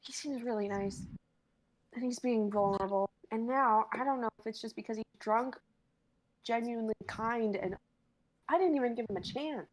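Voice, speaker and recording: A woman's voice: fairly high pitch, normal speed, natural voice, low-quality recording. A woman playing a conflicted, guilt-ridden young woman on a date, in a conversational comedy sketch reading. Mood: distressed, guilty. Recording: faint noise, amateur livestream audio.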